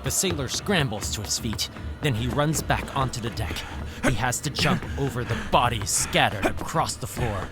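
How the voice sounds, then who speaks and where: A man's voice: medium pitch, expressive, normal speed, lightly acted. An actor playing a young man telling a scary sea tale in a theatrical, suspenseful manner. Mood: ominous, suspenseful. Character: male student spinning a nautical horror tale. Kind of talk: dramatic scary-story narration. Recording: dramatic music under the narration.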